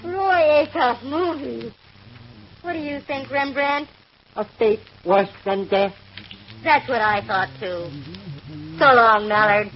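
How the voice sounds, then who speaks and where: An actress playing a sharp-witted, wry female private investigator in a 1940s radio drama. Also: a little high, takes it slowly, long pauses. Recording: muffled, hissy vintage radio recording.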